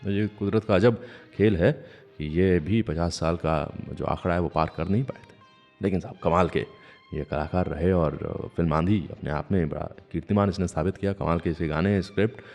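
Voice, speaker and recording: A man's voice: low-pitched, steady tone, a little fast, vocal fry, darkish tone. A man presenting a radio show in a conversational, musing storyteller's way, addressing listeners politely. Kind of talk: conversational radio presenting with anecdotes and philosophical asides. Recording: long hall reverb, music underneath.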